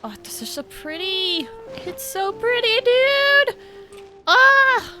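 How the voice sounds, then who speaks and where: Bright-voiced woman streaming a game. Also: very high voice, takes it slowly, faint music behind. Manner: excitable, chatty. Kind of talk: casual livestream game commentary.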